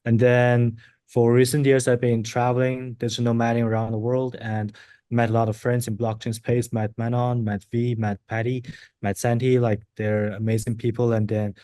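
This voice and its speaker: A man's voice: mid-range voice, little pitch movement, normal speed, dark tone, no echo. A man from mainland China introducing himself casually on a podcast, with lots of fillers. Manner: loose, filler-heavy, informal. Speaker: core contributor.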